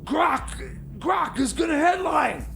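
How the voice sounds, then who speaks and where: A man co-hosting a radio show with excitable, stammering comedy banter. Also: very high voice, slow, vocal fry, a little hiss or hum.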